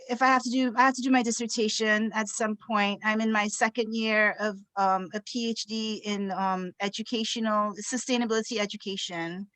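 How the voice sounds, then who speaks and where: A woman's voice: on the high side, fairly bright tone, no room sound. A young woman from Trinidad and Tobago, a student asking a question on a video call, eager and grateful with some hesitations. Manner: eager, grateful, a little halting.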